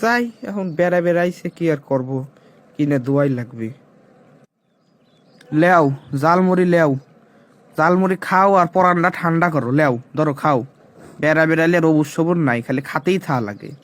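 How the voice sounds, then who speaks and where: Grumbling henpecked husband, higher-pitched, Pabna accent man acting. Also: moderate pace, pauses often, lightly acted. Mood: weary, placating. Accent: Pabna, Bangladesh. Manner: muttering complaints. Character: grumbling henpecked husband, anxious about money. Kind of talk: comic cartoon dubbing in dialect.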